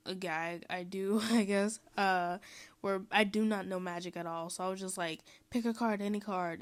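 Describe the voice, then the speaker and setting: A young woman from Mexico giving a casual, rambling voiceover, full of "um" and "like" and quick laughs. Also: medium pitch. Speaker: vlogger.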